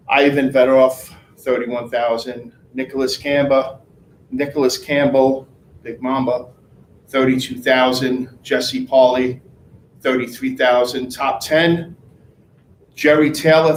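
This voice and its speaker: A man's voice: mid-range voice, reverberant, slight background noise, rough recording. Man reading out sales rankings. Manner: reads rankings out in a brisk list, then chats casually. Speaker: sales team leader. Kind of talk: meeting in front of a group.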